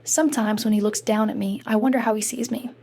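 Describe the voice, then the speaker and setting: A woman's voice: medium pitch, steady tone, fast, gravelly, darkish tone. A woman voicing a self-conscious, bookish fifteen-year-old girl, narrating in a wry, introspective way for a comic dub. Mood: wistful, self-doubting. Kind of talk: scripted first-person narration for a comic dub.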